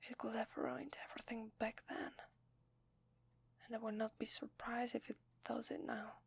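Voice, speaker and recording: Breathy, whispering Italian-accented werewolf wife, acted by a woman. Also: on the high side, monotone, no room sound, exaggerated voice. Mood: remorseful, sad. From Italy. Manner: hesitant, tender, slow with long pauses. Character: sweet, apologetic werewolf wife confessing to her lover. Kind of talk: whispered ASMR roleplay.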